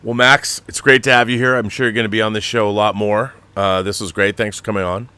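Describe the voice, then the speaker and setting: A man with a fairly deep voice and a bright, punchy edge hosts a casual online show. He speaks effusively and with enthusiasm, in a dry, close-miked sound. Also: flat delivery, quick pace, clear, resonant tone, no room sound. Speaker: show host and producer. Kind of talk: casual chat on a livestream show.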